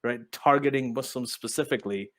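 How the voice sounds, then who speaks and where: A man who grew up in Saudi Arabia and Pakistan co-hosts an online debate over a video call. He challenges the other side with pointed questions and talks over interruptions to finish his argument. His voice sits at a middling pitch. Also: fairly quick, a bit bright. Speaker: debate co-host.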